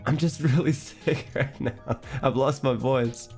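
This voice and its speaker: A man streamer chatting casually with his viewers, rambling with restarts and little breathy laughs. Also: medium pitch, measured pace, a little mellow, soft background music.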